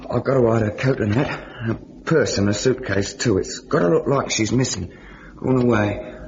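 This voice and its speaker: An actor playing an earnest, hesitant young suitor who turns desperate, in old-time radio drama style. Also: male voice, mid-range voice, moderate pace, darkish tone, muffled recording, a little hiss or hum, natural voice. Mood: anxious, agitated. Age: young adult. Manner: hesitant, stammering, pleading.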